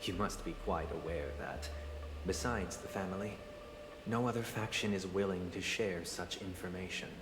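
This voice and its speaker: A man playing a suave, lowish-voiced formal leader. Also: moderate pace, noisy background, natural voice. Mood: formal, probing. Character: suave, formal, wary male leader of powerful family. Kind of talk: dramatic video game voice acting. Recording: roomy echo under loud music.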